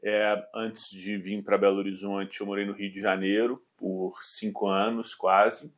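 A man in his forties, a finance executive from southern Brazil, answering interview questions in a measured way with frequent hesitations. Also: on the low side, level tone. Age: 40s. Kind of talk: business interview.

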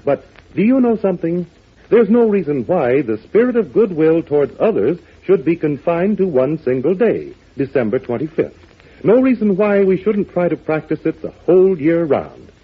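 A man's voice: a little high, sing-song, normal speed, breathy edge. A man announcing on old-time radio, earnestly and chummily addressing young listeners. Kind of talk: 1940s children's radio address. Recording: thin phone-like old radio recording.

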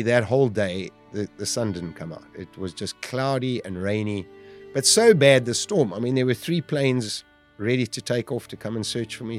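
A South African man in his fifties telling his own survival story in a loud, animated way. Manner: loud, animated storytelling with big pitch jumps. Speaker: guest storyteller.

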